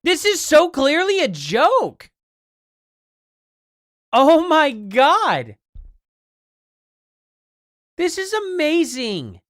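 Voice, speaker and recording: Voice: sits high, huge pitch swings, drawn-out words, pauses often, a bit bright, no room sound, soft background music. Chatty American livestreamer, close dry sound. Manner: informal, rambling, chatty, addresses viewers as guys and y'all. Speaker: livestream host. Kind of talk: casual livestream chat, reacting to clips and news.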